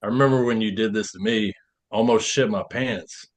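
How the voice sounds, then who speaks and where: A man acting a seasoned, steady oil rig hand in a drama script read. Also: on the low side, moderate pace, lightly acted. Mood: wry. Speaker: actor.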